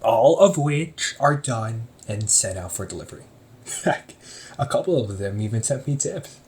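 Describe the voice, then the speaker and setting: Male performer as a relaxed young shop owner, teasing his sibling, slow talker with lots of pitch movement; audio roleplay. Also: slight background noise. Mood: defensive. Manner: lively, teasing, laughs often. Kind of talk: one-sided audio roleplay.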